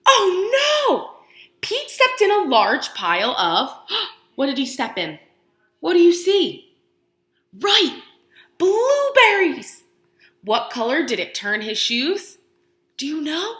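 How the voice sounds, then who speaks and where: A woman teacher doing an expressive picture book read-aloud for young children, asking questions with encouraging, exaggerated delivery and pausing for answers. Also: sits high, roomy sound.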